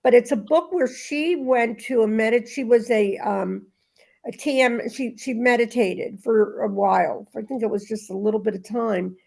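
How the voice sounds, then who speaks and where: A woman's voice: fairly high pitch, normal speed, creaky, darkish tone, rough recording. A woman talking casually and reading aloud in a spiritual discussion group, in a rambling, emphatic way that circles back on itself.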